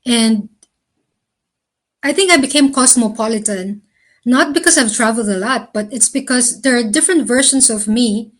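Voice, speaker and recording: A woman answering interview questions in a thoughtful, earnest way, with slow, deliberate phrasing. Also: fairly high pitch. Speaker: interview guest.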